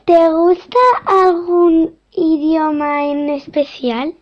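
A young interviewer asking prepared questions slowly and carefully. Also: extremely high pitch, steady tone. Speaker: child interviewer. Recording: dull lo-fi radio sound.

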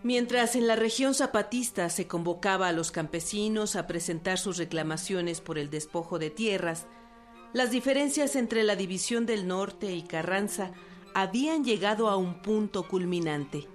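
A woman narrating a historical radio drama in a measured, scripted delivery. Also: mid-range voice. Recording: with music underneath.

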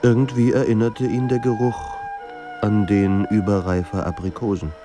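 A man performing as a somber narrator of a troubled writer's life in a radio drama, with measured, grave delivery. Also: on the low side, little pitch movement, lightly acted. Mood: grim. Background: music.

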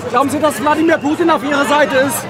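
An agitated man talks fast and insistently at an outdoor gathering. His voice is strained up very high, with a loud crowd around him. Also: steady tone. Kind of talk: heated, spontaneous street talk.

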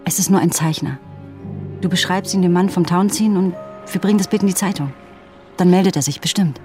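A determined female investigator voiced by an actress in a radio play. Her voice is distinctly low for a woman. She is earnest, loyal and persuasive. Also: brisk, warm tone, soft background music, natural voice.

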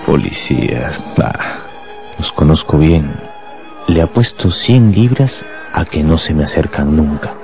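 Very deep-voiced, scornful fanatical anarchist. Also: male voice, expressive, vocal fry, exaggerated voice. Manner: smug, scornful, deliberate. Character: small shabby anarchist bomb-maker, fanatical, contemptuous, boastful. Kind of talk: radio drama acting. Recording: loud music behind the voice.

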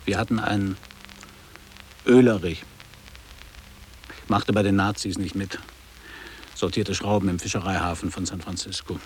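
An actor as deep-voiced, nostalgic man in his fifties. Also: fairly even intonation, normal speed, pauses often, slight background noise, natural voice, poor audio. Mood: reminiscing. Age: 50s. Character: nostalgic man around fifty revisiting his old boarding school. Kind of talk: literary German radio play acting.

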